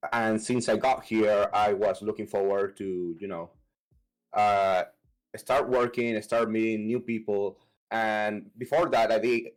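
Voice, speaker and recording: A young man from Venezuela speaks English with a Venezuelan Spanish accent in a lowish voice. He answers interview questions haltingly, pausing on fillers and starting over. Soft music plays faintly underneath. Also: low-quality recording. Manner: halting, hesitant, many fillers and restarts. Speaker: competitive fighting game player.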